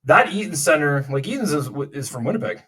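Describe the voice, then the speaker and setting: A man's voice: a little high, lively intonation, quick pace, a bit bright. Rambling man hosting a chat. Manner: rambling, swears freely, trails off mid-thought. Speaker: podcast host. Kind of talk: casual chat among friends.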